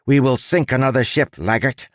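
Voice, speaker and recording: A man's voice: medium pitch, moderate pace, no room sound, clean studio sound, pitched above the performer's own voice, close to an everyday voice. A man voicing a rat who plays an aristocratic pirate captain, with a posh accent and a pompous, declamatory manner.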